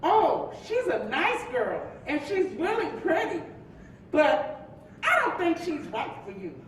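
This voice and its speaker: A woman acting a sassy, chatty married friend from the 1950s in a staged play reading, telling things in an animated, gossipy way with folksy endearments. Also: takes it slowly, exaggerated voice. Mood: teasing. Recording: echoey hall from far off, faint rumble.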